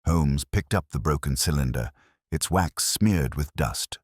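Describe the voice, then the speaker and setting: Very deep-voiced man narrating a mystery. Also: expressive, husky, dry recording, studio quality. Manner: measured, solemn storytelling. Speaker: audiobook narrator. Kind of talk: scripted dramatic narration of a detective story.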